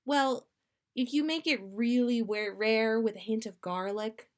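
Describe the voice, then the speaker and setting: A woman's voice: fairly high pitch, measured pace, voice pushed up, close to an everyday voice. A woman voicing a bashful, easily embarrassed man with a flustered, huffy manner in a fan fiction reading. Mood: mollified. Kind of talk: fan fiction read aloud.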